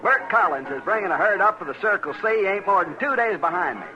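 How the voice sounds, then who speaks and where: An actor playing a fretful, pompous cattle drover with a rural Western American accent in an old-time radio drama. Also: male voice, high-pitched, lively intonation, brisk, music in the background. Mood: impatient, blustering. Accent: rural American West. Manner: blustering, pompous. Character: fretful, indignant, long-winded male cattle drover. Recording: dull vintage radio recording.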